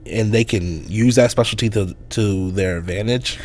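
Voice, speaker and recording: A man hosting a casual sports podcast, talking through a hoarse voice in a loose, rambling way with lots of fillers. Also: on the low side, moderate pace. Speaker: podcast host.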